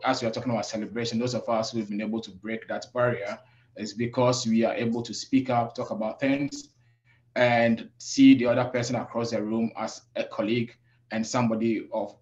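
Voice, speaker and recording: A man from Africa who works as a safety professional, speaking on a webinar panel in a measured, reflective way with many pauses. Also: medium pitch, steady tone, darkish tone, highs cut off. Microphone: video call.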